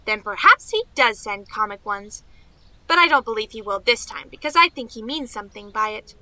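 Bright, naive girlish young niece. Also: on the high side, lively intonation, moderate pace, dry recording, low-quality recording. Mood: artless. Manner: artless, girlish, colloquial chatter. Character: naive, eager, chatty young niece, girlish and self-assured. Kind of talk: radio play acting.

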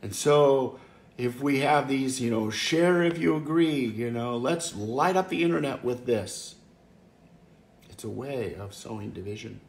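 A man's voice: medium pitch, a little slow. A man in his fifties, a pastor giving an earnest, conversational home devotional from his living room, often restarting his phrases. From Wisconsin, US. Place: living room by a fireplace, indoors. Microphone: camera or phone close up, seated. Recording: echoey room, faint background hiss.

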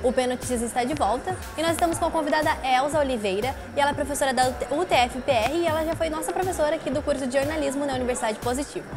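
A young Brazilian woman hosts a news interview. Her voice is high and she talks quickly, with clear music heard along with her speech. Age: young adult. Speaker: student reporter, interviewer. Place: glass-walled indoor lounge set.

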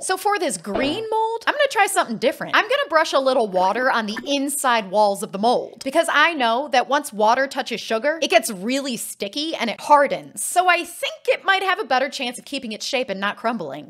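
A woman in her thirties with a high, very lively voice that swoops up and down as she talks through her baking. She is chatty and self-deprecating and often laughs at herself. Her voice is recorded close on a studio microphone, with light music playing behind it. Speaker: cake artist host. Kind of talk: casual voice-over tutorial narration. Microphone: studio microphone with headphones.

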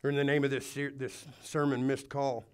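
A man's voice: mid-range voice, level tone, a little fast, clean tone. A man preaching a sermon to a congregation in a church, speaking earnestly and urging his listeners, with some restarts. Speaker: pastor. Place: church hall before a congregation.

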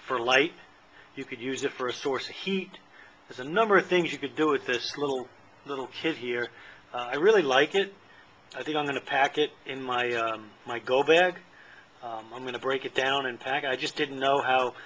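A man's voice: medium pitch, bright, forceful tone, a little hiss or hum, poor audio. A middle-aged man casually demonstrating a camping candle stove at an outdoor patio table, talking in a plain, rambling way with many fillers, recorded on a handheld camera. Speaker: channel host. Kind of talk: casual product demo.

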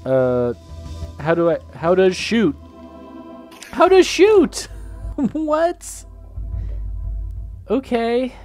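A man's voice: sits high, huge pitch swings, drawn-out words, pauses often, darkish tone, soft background music. A young man with a cold, commenting casually on a game he is playing, reading its text aloud, swearing and laughing as he gets flustered. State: getting over a cold, sniffling. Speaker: gameplay commentator.

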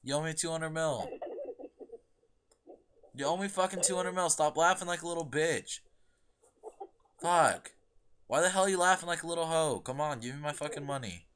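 A man's voice: a little high, normal speed, long pauses, fairly bright tone, a little hiss or hum. A young man arguing on an internet voice call, aggressive and profane, demanding the same thing over and over. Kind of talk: heated argument on an internet voice call.

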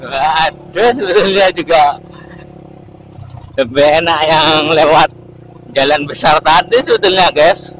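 A man's voice: fairly high pitch, measured pace, pauses often, a bit bright, rough recording. A man narrating casually while riding a motorcycle on rural roads, talking to his viewers through a helmet-mounted camera. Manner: chatty, keeps saying "guys" to viewers. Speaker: motovlogger. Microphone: helmet-mounted action camera. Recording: road and engine noise.

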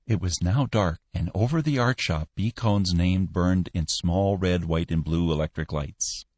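A man narrating a story as an audiobook, with expressive, polished reading. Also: low voice, measured pace, no room sound.

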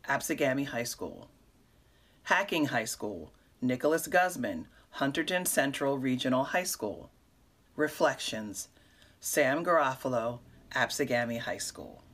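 A woman's voice: a bass voice, animated, a little slow, fairly bright tone. A middle-aged woman presenting awards in a scripted announcement style, reading out nominees and winners. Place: indoors, in front of a curtain.

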